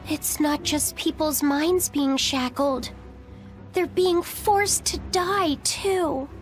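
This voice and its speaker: Slow, high-voiced solemn young boy. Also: soft background music. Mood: troubled. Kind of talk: dramatic English game voice acting.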